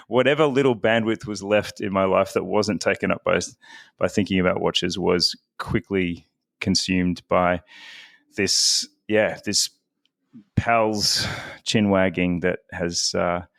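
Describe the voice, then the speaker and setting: A chatty man in his forties, guesting on a podcast. His voice sits low, and it is recorded close and dry with no room sound. He talks loosely and pokes fun at himself. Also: fairly even intonation, slow, gravelly, a bit bright, no echo. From Australia. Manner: chatty, self-deprecating, laughs often. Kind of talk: casual podcast interview.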